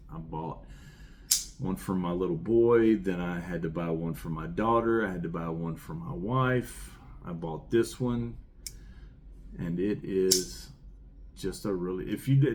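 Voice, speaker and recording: A man's voice: sits low, darkish tone, a little hiss or hum. A middle-aged man with a rural Southern American accent, rambling with enthusiasm as he shows off his collection. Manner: enthusiastic, rambling, repeats words. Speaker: knife collection reviewer. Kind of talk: casual show-and-tell.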